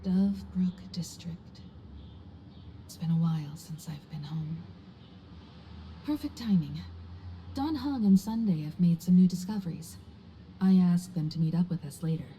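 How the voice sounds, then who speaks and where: A woman voicing a calm, wry, worldly traveller in a video game, composed and unhurried. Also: fairly low pitch, slight background noise. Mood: calm, assured. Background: soft game music.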